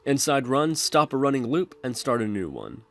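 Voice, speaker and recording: A man narrates a programming screencast as a tutorial host. His voice sits at a medium pitch, and he gives step-by-step instructions with music playing behind him. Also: big pitch swings, moderate pace.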